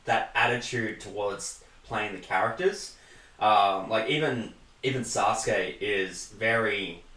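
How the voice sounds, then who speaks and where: A man's voice: on the low side, slow pace, bright, forceful tone, recorded from a distance. A man with an Australian accent, chatting casually as the host of an interview with voice actors. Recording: echoey room, off the mic.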